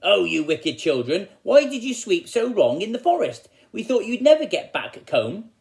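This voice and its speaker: Comic fairy-tale mother, played by a man: cross, bossy, hard-hearted, animated. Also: high-pitched, normal speed, gravelly, voice pushed up, exaggerated voice. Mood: cross scolding.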